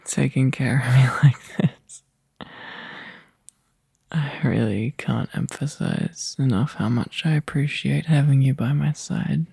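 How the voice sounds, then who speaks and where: A man playing a tired, overworked young boyfriend in a soft, intimate ASMR roleplay, halting and breathy, often dropping into a whisper close to the mic. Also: mid-range voice, no room sound, full character voice. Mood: touched, loving. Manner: halting, drowsy, sighing delivery. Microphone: close microphone, dry.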